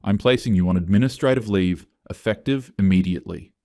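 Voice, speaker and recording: Man playing officious HR woman in a story, deep register, clipped, sure of herself. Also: brisk, darkish tone, no room sound, studio quality, natural voice. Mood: cold, official. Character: self-assured, cold female human resources investigator.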